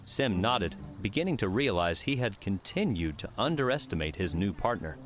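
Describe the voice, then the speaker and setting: Low-voiced man narrating an audiobook. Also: big pitch swings, moderate pace, fairly bright tone, faint music behind. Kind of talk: scripted fiction narration.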